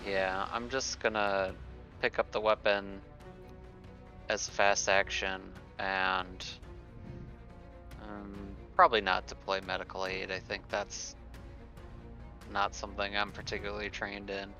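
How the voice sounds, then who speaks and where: Bright-toned man planning his game turn. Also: fairly low pitch, little pitch movement, a little slow, long pauses, faint music behind. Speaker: player. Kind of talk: casual chat at a game table.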